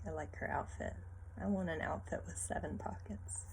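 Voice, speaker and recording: A woman reads a children's story with lively expression and little teacherly asides. Her voice has a noticeable creak, and there is some noise in the recording. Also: sits low, moderate pace, rough recording. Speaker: read-aloud teacher. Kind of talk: expressive picture-book read-aloud with asides to young listeners.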